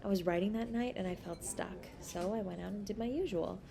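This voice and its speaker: A woman acts out a young woman writer on a first date, playful and a little coy. Her medium-pitched voice teases and hesitates in an intimate, one-sided audio drama. A clear murmur of other people sits behind her. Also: a crowd in the background, lightly acted. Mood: amused, teasing. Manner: coy, teasing, hesitant pauses and soft laughs.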